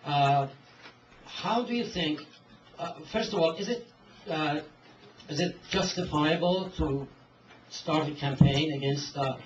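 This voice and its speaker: A man telling a story and then putting a question to a speaker, halting with many fillers and restarts. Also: medium pitch. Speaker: interviewer. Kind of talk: hesitant spoken question to a speaker. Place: event hall. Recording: distant mic, dull, roomy hall with hiss.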